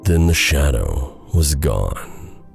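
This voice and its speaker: A man narrating a dark bedtime fairy tale in a deliberate, dramatic storytelling style. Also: extremely low pitch, very animated, vocal fry, faint music behind.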